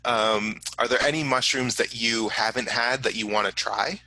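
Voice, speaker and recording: A man hosting a casual live question-and-answer program, speaking haltingly as he relays audience questions. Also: medium pitch, steady tone, bright, forceful tone, no echo. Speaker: host of a science museum online program.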